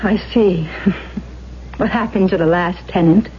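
A woman's voice: lowish voice, takes it slowly. A woman playing an impressionable, inquisitive young aspiring actress in a 1970s radio drama, with an earnest, theatrical delivery. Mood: insistent. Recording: dull, noisy vintage radio recording.

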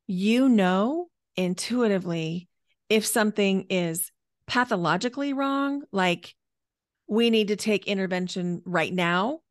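A woman of about fifty talks as a guest on a podcast, in a mid-pitched voice. She tells personal stories in a conversational way, leaning on key words for emphasis. The sound is dry, with hardly any room in it. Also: a little slow, no echo. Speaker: podcast guest, energy healer and coach.

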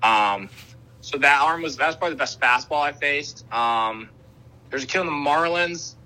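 A young man from the Boston area, a minor league ballplayer, answering interview questions over a video call in a relaxed, rambling way with lots of fillers. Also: a little high, normal speed, rough recording. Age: young adult. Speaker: minor league baseball player, guest.

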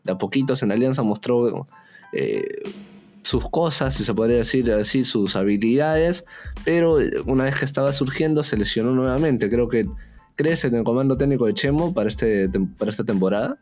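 A man's voice: medium pitch, moderate pace, a little mellow, soft background music. A man from Peru co-hosting a football talk show, adding points with a thoughtful, hesitant delivery and many fillers. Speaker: sports show co-host. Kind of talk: casual sports talk commentary.

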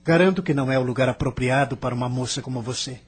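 Very husky, guarded husband played by an actor. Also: male voice, medium pitch, fast, natural voice. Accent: Brazilian. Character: guarded, evasive husband, serious and hurried. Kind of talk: radio soap opera acting.

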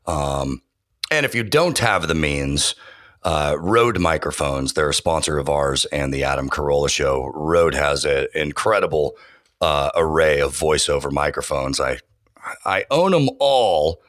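An enthusiastic man talking shop in a podcast interview, his voice sitting extremely low. He stumbles through fillers and restarts as he goes. The sound is dry and close, with no room around it. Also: animated, a little slow, a bit bright, dry recording. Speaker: voiceover artist and audio engineer. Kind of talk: casual interview talk.

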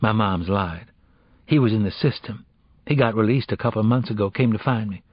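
A man's voice: fairly low pitch, fairly quick, husky, dry recording, dull audio. A man voicing an evasive, lovestruck teenage girl in an audiobook, slangy, reluctant and defensive as she confesses.

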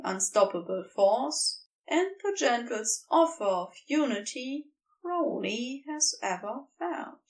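A woman narrates romantic fiction in a tender, storytelling way. Her pitch sits a little high and moves with lively swings, and she takes her time over each phrase. The recording places her slightly away from the microphone. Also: distant mic, rough recording. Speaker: amateur fan fiction narrator.

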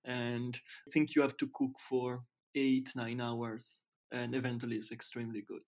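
A man in his twenties with an Italian accent, a guest chatting casually in careful, halting English learned as a second language. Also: mid-range voice, level tone, a little mellow. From Italy. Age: 20s. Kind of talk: casual chat.

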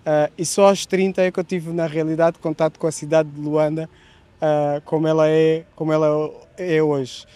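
A man's voice: fairly high pitch, level tone, normal speed, dark tone. A middle-aged man from Angola answering candidly in an interview, with frequent fillers.

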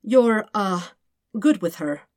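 A woman voicing a fussy, excitable male detective who gets defensive and halting in an audiobook story. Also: medium pitch, no echo, studio quality. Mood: flustered. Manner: indignant, halting, emphatic. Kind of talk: acted dialogue in fan fiction audiobook.

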